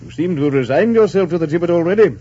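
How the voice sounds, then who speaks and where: An actor playing a stately, dryly amused English king, formal and measured, in 1940s radio drama style. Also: on the high side, expressive, dark tone, breathy edge. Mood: dryly curious. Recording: muffled 1940s radio recording.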